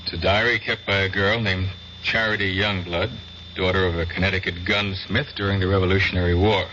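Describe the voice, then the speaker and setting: An actor playing a skeptical, exasperated lawyer in a 1970s radio drama, questioning and reasoning firmly. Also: male voice, deep voice, flat delivery, fairly quick, pushed and bright. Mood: disbelieving. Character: skeptical, exasperated male defense attorney. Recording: muffled, hissy radio drama recording.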